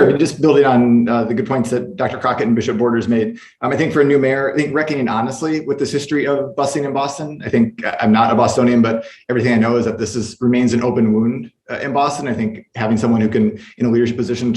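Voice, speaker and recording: Quick-talking man professor in echoey room. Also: medium pitch, steady tone, a little mellow. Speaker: professor, panelist. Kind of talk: panel discussion, unscripted remarks.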